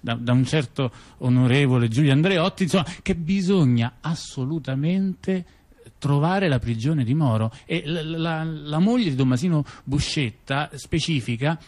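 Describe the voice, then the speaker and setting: A man performing a conversational stage monologue, halting and rambling, repeating words and dropping in asides. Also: a little high, a little mellow, close to an everyday voice. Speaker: stage performer narrating to a listener.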